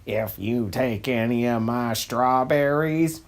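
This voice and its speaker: A woman voicing a gruff, threatening male farmer in a dramatic reading of a cartoon story. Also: very deep voice, slow pace, voice pushed down, exaggerated voice. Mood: menacing. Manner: threatening, curt.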